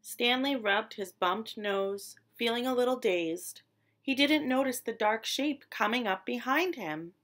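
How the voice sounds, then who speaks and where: A woman storyteller narrating a children's picture book expressively, with deliberate, dramatic emphasis on descriptive words. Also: a little high, lively intonation, a bit bright. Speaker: read-aloud storyteller.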